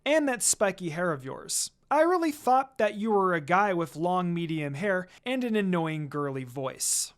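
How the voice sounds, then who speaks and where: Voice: medium pitch, very animated, measured pace, hardly any pauses, clean tone. A cool young ninja woman, played by a story reader, sly and unbothered. She teases in a dry, understated, mocking way, as in an anime fan tale.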